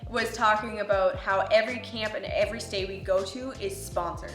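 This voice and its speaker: A young adult woman chatting casually as a travel vlogger, soft-spoken and full of "like". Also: medium pitch, a little fast, echoey room, over music. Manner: soft, chatty, says "like" a lot. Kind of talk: casual chat.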